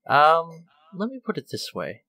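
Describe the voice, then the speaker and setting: A man's voice: on the high side, wildly swooping pitch, unhurried, pushed and bright. A man hosting an interview, asking long, empathetic questions and relating them to his own life. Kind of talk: casual interview.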